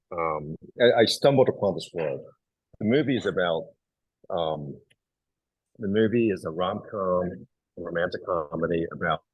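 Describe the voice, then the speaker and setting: A middle-aged man from Hong Kong talks in a low, husky voice. He tells stories loosely, with fillers and restarts. The sound is close and dry. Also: no echo, narrow, tinny audio. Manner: animated storyteller, many fillers and restarts. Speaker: actor and writer, guest. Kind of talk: casual interview.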